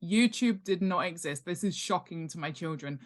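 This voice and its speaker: A woman's voice: lowish voice, moderate pace. British woman explaining things with emphasis. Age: middle-aged. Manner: emphatic, repeats words for stress. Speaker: guest, author and world-building expert. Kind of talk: conversational explanation in a podcast interview.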